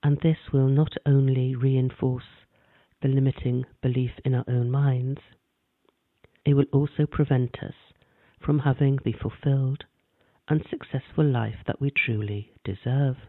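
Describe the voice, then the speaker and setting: Calm man guiding a meditation, tinny sound. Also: medium pitch, no room sound. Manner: calm, gentle, measured delivery. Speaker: meditation guide. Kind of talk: guided meditation narration. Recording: dry, tinny phone-like sound.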